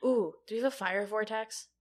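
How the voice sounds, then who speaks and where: A woman's voice: medium pitch, brisk. A woman co-hosting a podcast in casual chat, playfully teasing and riffing as she reacts and asks questions.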